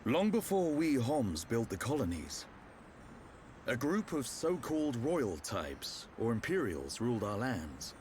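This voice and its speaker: A man playing a calm, knowledgeable warrior and mentor in a video game, explaining things in a measured, assured way. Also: medium pitch, a little hiss or hum. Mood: explanatory. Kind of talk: video game cutscene acting.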